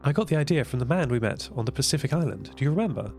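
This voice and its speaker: A man acts out an inventive, practical woman at sea, quoted within an audio drama. The pitch is lifted above the narration, and the delivery is earnest and explanatory. Music is clearly heard underneath. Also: lively intonation, racing, darkish tone, lightly acted. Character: Linda, practical inventive woman sailor, warmly teasing.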